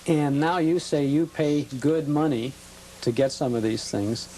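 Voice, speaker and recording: A man's voice: fairly high pitch, a little slow, warm tone, a little hiss or hum, rough recording. A man hosting a TV interview, asking curious, prompting questions and hesitating often along the way. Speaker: TV interview host. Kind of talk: conversational TV interview.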